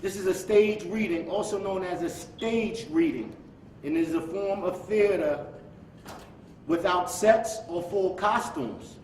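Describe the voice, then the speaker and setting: In an echoey, somewhat distant recording with faint noise, a man speaks as an event host. His voice is high for a man, and he delivers a formal introduction to a live audience. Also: a little slow, darkish tone.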